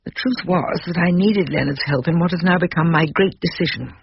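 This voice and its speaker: An actress playing a haughty, bossy older English wife of a barrister in a radio drama, speaking precisely. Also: female voice, lowish voice, fairly quick, gravelly, breathy edge, full character voice. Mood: self-assured, superior. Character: imperious older barrister's wife, sharp and self-assured. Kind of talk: radio drama dialogue and narration. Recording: dull lo-fi radio recording with hiss.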